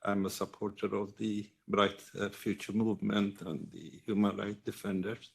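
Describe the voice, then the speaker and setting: A man from Sweden making an earnest, halting impromptu comment at a political roundtable. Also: on the low side, level tone, gravelly, slightly rough. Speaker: audience commenter, activist and medical doctor.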